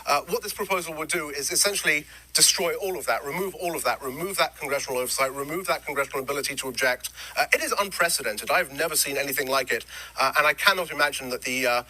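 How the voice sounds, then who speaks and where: A man answering questions in a news interview, explaining policy in measured detail with frequent "uh" fillers. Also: a little high, sharp tone, low-quality recording. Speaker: interview guest, former government official.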